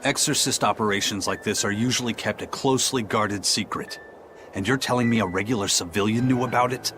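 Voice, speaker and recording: An actor voicing a stern, seasoned pirate captain in game dialogue, speaking in a measured, grave, analytical way. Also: male voice, lowish voice, background noise, close to an everyday voice. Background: game score.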